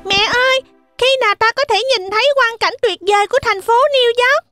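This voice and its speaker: Little girl goat: extremely high, childlike, friendly cartoon voice, dry sound. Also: brisk, bright, forceful tone, dry recording, faint music behind. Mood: curious.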